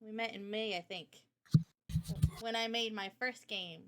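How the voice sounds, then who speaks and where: A woman's voice: medium pitch, steady tone, a little slow, bright, forceful tone. A woman guesting on a casual livestream as a game developer, with a bubbly, giggly, teasing way of talking. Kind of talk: casual livestream chat and introduction.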